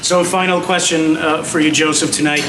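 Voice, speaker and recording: A man hosting a gala interview, asking polite, measured questions. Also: fairly high pitch, fairly even intonation. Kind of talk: formal onstage interview. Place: large gala hall. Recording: echoey hall, heard from far off, noisy.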